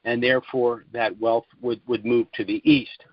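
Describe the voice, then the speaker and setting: A man with a mid-range voice gives explanatory commentary as a call presenter. He speaks in a deliberate, formal manner, pausing and hesitating as he lays out his points. The audio is dry and somewhat dull, like a lo-fi conference line. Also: mid-range voice, level tone, vocal fry, no room sound.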